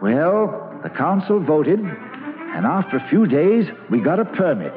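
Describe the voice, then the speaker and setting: Nostalgic storyteller on tinny old radio. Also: male voice, a little high, sing-song, slow. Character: grown actor fondly recalling his boyhood on stage. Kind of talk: old-time radio drama narration. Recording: tinny vintage radio, loud music behind.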